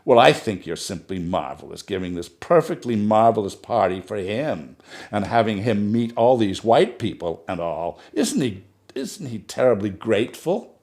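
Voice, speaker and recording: A man's voice: on the low side, big pitch swings, normal speed, darkish tone. A man reading a short story aloud, voicing a chatty, gushing society woman who talks in a breathless stream and keeps praising herself.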